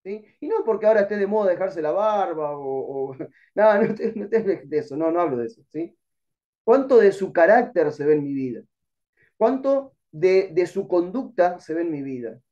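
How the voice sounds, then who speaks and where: A man's voice: sits high, expressive, normal speed, dark tone, lo-fi sound. Earnest Argentine man teaching Bible class. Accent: Argentine Spanish. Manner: earnest, exhorting, addresses listeners as brother. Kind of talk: online Bible class lecture.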